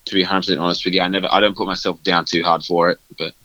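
Young male, low-ish bright voice, rambling casual talker, dull dry recording. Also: level tone, racing, dry recording. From Australia. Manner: loose and rambling, many fillers and "like". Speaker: guest band vocalist. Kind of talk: casual interview chat.

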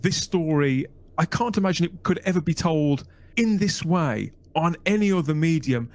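A man with a slightly high voice hosts a podcast interview. He talks in long, wordy sentences, doubling back on phrases as he frames questions for his guests. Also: lively intonation, moderate pace, darkish tone. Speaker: podcast host.

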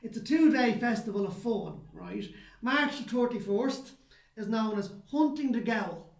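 A man's voice: high-pitched, animated, unhurried, gravelly, echoey room. An Irish man co-hosting a podcast, telling stories in a chatty way and often checking in with "right?". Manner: chatty storyteller, tags lines with "right?". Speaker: podcast co-host. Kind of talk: casual chat.

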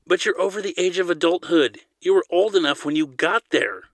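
A young woman from an audiobook, coaxing and caring, played by a man. She speaks in a raised pitch with a playful but insistent manner. The recording is very dry. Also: brisk, fairly bright tone, no room sound, natural voice. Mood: pressing. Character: playful, caring, firm young woman, prince's trusted friend. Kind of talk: audiobook character dialogue.

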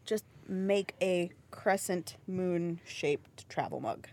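A woman's voice: lowish voice, slow, darkish tone. A woman co-hosting a podcast, answering with dry sarcasm and casual swearing. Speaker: podcast co-host. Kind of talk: casual banter.

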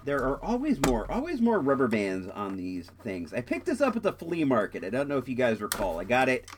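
A man's voice: medium pitch, huge pitch swings, a little fast, slight background noise. A young man hosting a podcast who is sick and stuffed up, chatting casually and jokingly while he unboxes a toy. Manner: rambling, jokey, self-interrupting. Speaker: podcast host.

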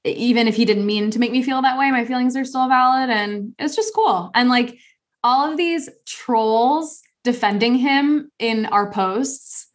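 A woman's voice: fairly high pitch, a little slow. A young adult woman hosting a podcast, talking earnestly in casual conversation with lots of fillers and restarts. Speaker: podcast host.

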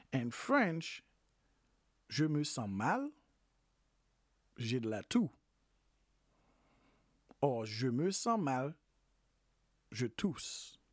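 A language teacher talks through a phrase lesson at an unhurried pace and repeats every phrase a few times. The pitch rises and falls widely, and there are small hesitations and self-corrections along the way. The voice is dry and close, with faint music underneath. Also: no room sound. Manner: slow, repeats each phrase several times. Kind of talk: informal phrase lesson for health workers.

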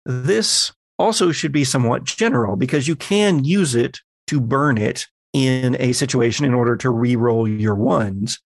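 Guy running a tabletop game, going thru rules step by step, unhurried, very dry sound. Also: mid-range voice, gravelly, no room sound. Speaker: game master.

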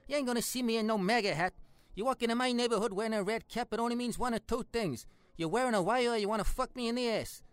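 A brash Italian-American hard man in a comedy sketch, with a very high-pitched voice and a New York accent. He is profane, slangy and menacing, recorded close and dry. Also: fast talker, clean tone, dry recording. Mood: earnest, brash. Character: foul-mouthed, bigoted Italian-American tough guy, brash and menacing. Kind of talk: comic dialogue acting.

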